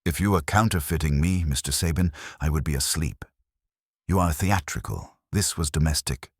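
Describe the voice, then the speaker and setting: Guy doing an imperious brainy detective, very low voice, formal and cutting, audiobook style, dry sound. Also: animated, normal speed, husky, dry recording, heavily acted. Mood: dry disdain. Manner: formal, precise, courteously cutting. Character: imperious, cerebral middle-aged male master detective.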